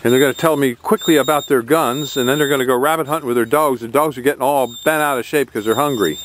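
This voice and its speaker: A man's voice: medium pitch, quick pace, fairly bright tone. A man chatting casually from behind a handheld camera outdoors, asking questions as interviewer. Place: outdoors in a farm yard. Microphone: handheld camera mic. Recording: outdoor camera audio with background noise.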